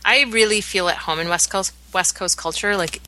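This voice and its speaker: A woman's voice: medium pitch, normal speed, bright, forceful tone. A woman in her thirties from Canada, with a Canadian accent, co-hosting a podcast in casual chat, full of ums and restarts. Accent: Canadian English. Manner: chatty, many ums and restarts. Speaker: podcast co-host.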